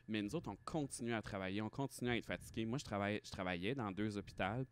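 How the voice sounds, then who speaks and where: A man's voice: fairly low pitch, fast talker, a little hiss or hum. A man in his thirties with a Quebec French accent, speaking candidly and emphatically as a guest in a casual interview. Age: 30s. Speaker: podcast guest, drag performer and hospital respiratory therapist.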